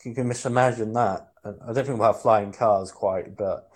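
Male podcast co-host, low-ish voice, rambling, stop-start delivery. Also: steady tone, husky. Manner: halting, many restarts and fillers. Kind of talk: rambling casual chat. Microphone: headset.

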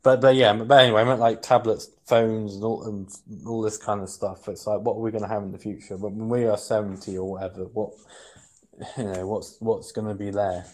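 Male podcast co-host, low-ish voice, rambling, stop-start delivery. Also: level tone, darkish tone. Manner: halting, many restarts and fillers. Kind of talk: rambling casual chat. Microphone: headset.

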